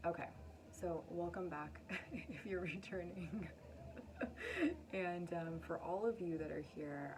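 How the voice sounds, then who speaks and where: A woman with a lowish voice talks casually as an astrologer giving a card reading. She is effusive and affirming, wandering into chatty asides. Steady, noticeable noise sits behind her voice. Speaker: astrologer and tarot reader, channel host.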